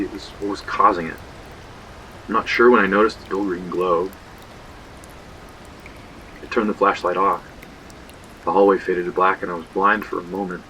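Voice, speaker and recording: A man's voice: low voice, pushed and bright, slight background noise, low-quality recording. An actor playing a haunted young man, narrating an eerie horror audio drama in a brooding, confessional way. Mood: grim, brooding.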